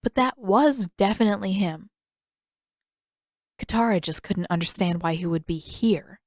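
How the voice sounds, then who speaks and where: A woman's voice: medium pitch, moderate pace, a little mellow, no room sound, clean studio sound. A woman reading fan fiction aloud as scripted audiobook narration.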